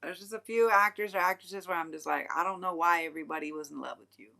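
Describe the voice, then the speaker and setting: Female tarot reader, low and bright-toned, rambling, frank, swears casually. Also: fast talker, creaky. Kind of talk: casual livestream tarot reading.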